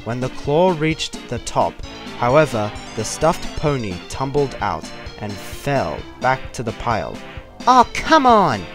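Male narrator for scripted fiction, mid-range pitch, clear music bed underneath. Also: expressive, a little slow.

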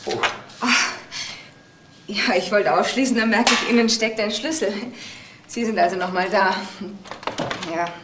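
A woman's voice: mid-range voice, unhurried, long pauses, natural voice. Actress as a polite woman in an echoey room. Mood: flustered, polite. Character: polite, slightly flustered woman checking a flat. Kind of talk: radio crime drama acting. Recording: roomy echo, background noise.

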